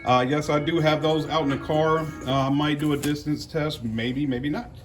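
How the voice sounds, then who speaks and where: A man's voice: on the high side, steady tone, moderate pace, over music. An American man giving casual unboxing commentary as a car audio vlogger, talking in a folksy, slangy way.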